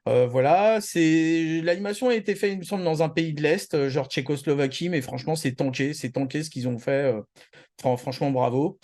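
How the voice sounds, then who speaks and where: A man co-hosting a show, chatting casually and giving opinions in slangy, halting speech. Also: medium pitch, a little mellow. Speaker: co-host of an anime news show. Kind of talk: casual opinionated news chat.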